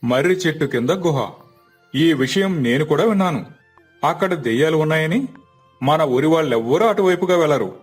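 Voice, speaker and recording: A man voicing an authoritative, skeptical village leader with a measured, persuasive manner. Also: a little high, animated, breathy edge, faint music behind. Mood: skeptical, resolute.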